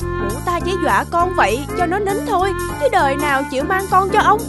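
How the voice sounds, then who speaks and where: A woman's voice: very high-pitched, sing-song, brisk. A woman voicing a scolding, exasperated wolf wife in a cartoon, dramatic and full of exclamation. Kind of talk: cartoon voice acting. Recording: loud music behind the voice.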